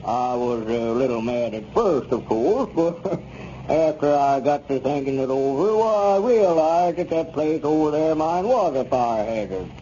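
An actor playing a genial, smooth-talking American small-town squire, eager to seem contrite, in a 1930s radio comedy. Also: male voice, on the high side, animated, a little slow, mellow. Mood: genial, conciliatory. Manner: genial, ingratiating. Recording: muffled, noisy 1930s radio recording.

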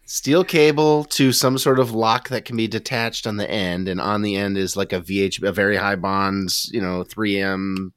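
Low-voiced man, dry podcast banter. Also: level tone, moderate pace, hardly any pauses, a bit bright, smooth, no room sound. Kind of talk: casual group banter.

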